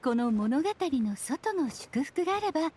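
Playful witch, high and lilting. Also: big pitch swings, a little mellow, slight background noise. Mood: serene explaining. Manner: teasing, unhurried, coy. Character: playful, mysterious, self-assured witch, creator of a fairy-tale world. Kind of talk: anime video game voice acting.

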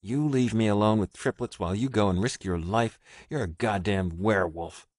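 A man voicing a nervous young man who talks in a rushed, frantic way and stammers. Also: lowish voice, darkish tone, dry recording, studio quality, close to an everyday voice. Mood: muttering.

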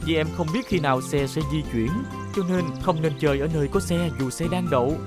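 A calm, caring cartoon rescue car, voiced by a woman speaks in a low register. The delivery is measured and instructive, like a patient safety teacher, with loud music behind it. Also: natural voice. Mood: earnest, cautioning. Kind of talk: cartoon dubbing. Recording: studio dub over loud music.

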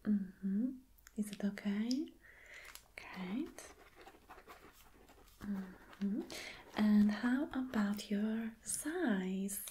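A woman playing a flirty, caring boss in a soft, breathy, whispered ASMR roleplay, gentle and coaxing. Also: mid-range voice, a little hiss or hum, natural voice. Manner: slow, gentle, coaxing, with hums of agreement. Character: flirty, caring female boss courting an employee. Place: small dim indoor room.

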